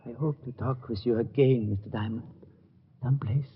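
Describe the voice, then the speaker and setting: An actor playing a gentle, dignified old uncle, speaking earnestly and formally in a radio drama. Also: male voice, mid-range voice, steady tone, brisk, slight background noise, natural voice. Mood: resigned, wistful. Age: older. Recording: muffled old radio recording, long reverb.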